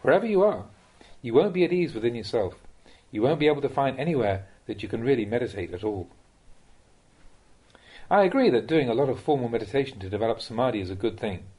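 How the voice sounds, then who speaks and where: Slightly husky man reading Buddhist teachings. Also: medium pitch, expressive, dark tone. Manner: measured, instructive, direct address to listener. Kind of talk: read-aloud Dhamma talk.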